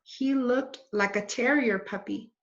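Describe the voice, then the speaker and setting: A woman reading a children's book aloud in a deliberate, expressive way. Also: on the high side. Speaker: read-aloud storyteller. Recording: roomy, dull lo-fi recording.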